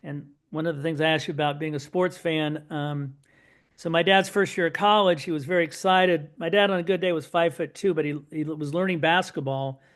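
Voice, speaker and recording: A middle-aged man, a guest on an interview podcast, telling his family's story in a lively, anecdotal way full of asides. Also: fairly high pitch, a little fast. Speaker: podcast guest, son of Holocaust survivors.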